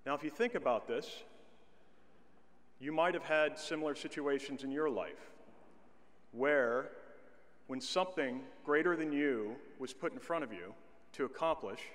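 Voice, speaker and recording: A middle-aged man delivering a commencement address at a podium, speaking in a measured way with slow, deliberate emphasis. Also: a little high, fairly bright tone. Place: large indoor arena stage at a podium. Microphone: podium microphone. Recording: long arena reverb.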